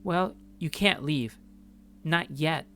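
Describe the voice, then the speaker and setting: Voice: mid-range voice, slow pace, fairly bright tone, soft background music, pitched above the performer's own voice. A performer reading a visual novel and voicing an upbeat young woman who earnestly coaxes her date. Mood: insistent, pleading. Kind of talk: visual novel character read-aloud.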